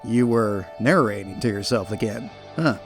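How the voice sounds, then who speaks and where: A man voicing a gentle bat pony father in an animated fan audio drama, teasing playfully in a fatherly way. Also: fairly low pitch, moderate pace, close to an everyday voice. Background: faint music.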